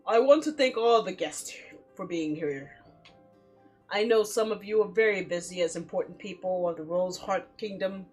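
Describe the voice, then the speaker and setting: A woman's voice: fairly low pitch, normal speed, darkish tone, breathy edge, faint music behind, voice pushed down. A woman voicing a proud noble father giving formal, declamatory speech in a visual novel. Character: proud, formal duke father addressing guests.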